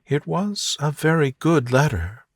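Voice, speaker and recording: A man reads as a witty young gentlewoman in love, lifted in pitch for a soothing storybook. The delivery is soft and deliberate, with a playful, tender temper and lively melody. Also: no echo, clean studio sound, heavily acted. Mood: gently teasing. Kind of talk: soothing bedtime storybook dialogue.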